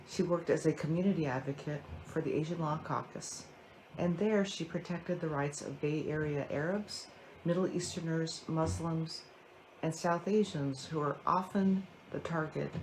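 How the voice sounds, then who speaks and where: A woman's voice: deep voice, darkish tone, slight background noise, rough recording. A woman who co-founded a scholarship, presenting the award with enthusiastic praise.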